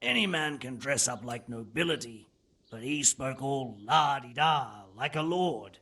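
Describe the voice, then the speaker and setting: Gravelly, husky captured bandit. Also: male voice, mid-range voice, lively intonation, measured pace, pushed and bright, exaggerated voice. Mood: wry, candid. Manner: rough colloquial speech with ain't and lad. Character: captured male bandit, resigned, world-weary and wry. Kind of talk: dramatic video game dialogue.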